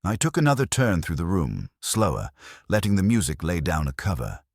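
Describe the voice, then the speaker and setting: A man's voice: sits low, wildly swooping pitch, fairly quick, dry recording, clean studio sound. A man narrating scripted first-person detective fiction in a wry, hardboiled manner. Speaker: audiobook narrator.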